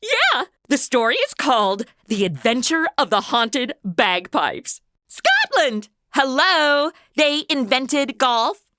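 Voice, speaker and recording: A woman's voice: high-pitched, very animated, takes it slowly, bright, forceful tone, full character voice. An actress playing a brash, carefree young woman in a comedy audio drama, slangy and bossy. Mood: excited.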